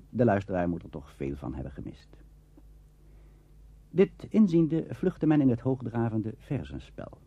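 A man's voice: on the low side, warm tone, slightly rough, dry recording. A man giving scripted documentary narration in a formal, lecturing manner. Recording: dull, muffled old recording.

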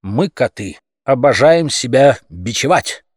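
A man's voice: mid-range voice, expressive, unhurried, husky, dry recording, studio quality. A man voicing a pompous cat leader in an audiobook fairy tale, a bombastic demagogue who speaks with heavy emphasis. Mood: pompous, lecturing. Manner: emphatic, pompous, repeats phrases with pressure. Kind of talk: audiobook character acting, satirical fairy tale.

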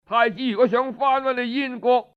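A man's voice: extremely high pitch, steady tone, normal speed, smooth, dull audio, voice pushed up. A man with a Cantonese accent voicing a haughty, unyielding king in a storytelling audiobook, declamatory and taunting.

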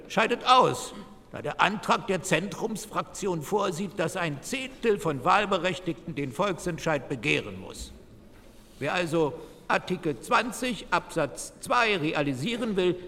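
An actor playing an earnest 1940s German delegate making a formal, declamatory parliamentary speech. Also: male voice, high voice, fairly bright tone, full character voice. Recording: reverberant large hall.

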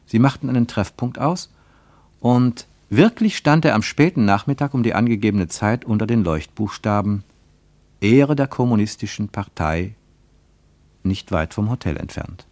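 A man as the narrator of a radio play travelogue, reading literary prose in a measured, reporting manner. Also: lowish voice, lively intonation, darkish tone, dry recording. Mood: measured, observant.

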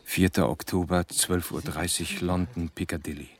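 A man playing a serious, educated diarist in a German radio drama, narrating in measured, clipped entries. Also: sits low, steady tone, slight background noise. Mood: sober, tense. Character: sober educated man narrating his diary.

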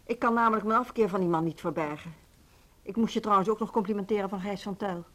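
A woman's voice: mid-range voice, quick pace, lightly acted. Composed, cordial woman, played by an actress. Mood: relieved, pleased. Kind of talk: Dutch radio play acting.

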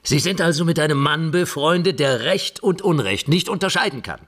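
An actor playing a hard, obsessive police inspector in a radio crime drama, raspy, barking and sarcastic. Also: male voice, a little high, normal speed, a bit bright. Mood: scornful questioning.

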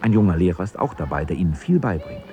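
A man's voice: low-pitched, sing-song, quick pace, dark tone. A man reading scripted storybook narration for a radio drama.

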